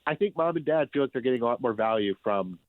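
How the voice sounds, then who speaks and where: A man's voice: medium pitch, animated, fast, no room sound. A man speaking as a sports writer guest, giving conversational sports commentary in an emphatic way, repeating phrases for effect. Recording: thin phone-like line.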